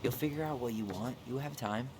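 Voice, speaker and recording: A man's voice: medium pitch, a little fast, close to an everyday voice. A man acting an earnest, wry young American man in naturalistic, understated video game dialogue. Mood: subdued. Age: young adult. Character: earnest, dryly joking young man, passionate about wildlife. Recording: game stream with clear background noise.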